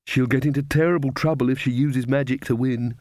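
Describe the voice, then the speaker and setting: A man's voice: mid-range voice, steady tone, fairly quick, darkish tone, no room sound, studio quality, lightly acted. A man voicing an earnest witch woman who speaks in a worried, insistent way, as an audiobook character. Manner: anxious, insistent.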